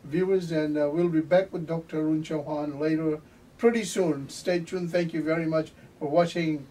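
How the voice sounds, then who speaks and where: A middle-aged man hosts a casual interview, pausing and restarting as he frames his questions. His voice sits a bit high yet sounds dark and mellow. He is picked up from a distance, with a faint hiss behind him. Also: fairly even intonation. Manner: halting, many fillers and restarts. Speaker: talk show host. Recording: distant mic with faint noise.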